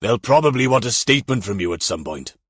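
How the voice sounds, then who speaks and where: A man's voice: mid-range voice, expressive, fast, a bit bright, husky, no echo, studio quality. A man voicing a helpful, persistent male police officer with a practical, conversational manner in an audiobook. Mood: speculating.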